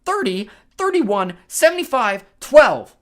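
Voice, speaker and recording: A woman's voice: on the high side, huge pitch swings, fast, a bit bright, voice pushed up, exaggerated voice. A woman voicing frantic, quarrelsome ancient witch sisters in an audiobook, screeching and wailing as they bicker. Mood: desperate.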